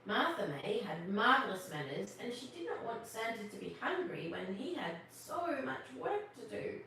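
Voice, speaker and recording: A woman reading a children's story aloud as host, expressive, drawing out her words. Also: fairly high pitch, creaky. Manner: expressive, drawn-out words, questions to listeners. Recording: very echoey, distant mic, faint noise.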